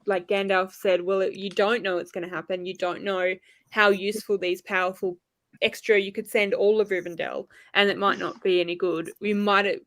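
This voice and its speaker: A woman's voice: mid-range voice, level tone, moderate pace, fairly bright tone, no echo. Woman chatting about books, thoughtful, rewords herself, close dry sound. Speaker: guest panelist. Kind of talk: casual book discussion.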